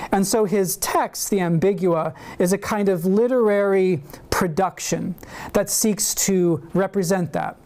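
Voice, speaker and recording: A male university lecturer reading aloud from a prepared paper. His delivery is measured and deliberate, with an occasional filler between clauses. He speaks as if to a seminar room. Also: sits high, a little mellow.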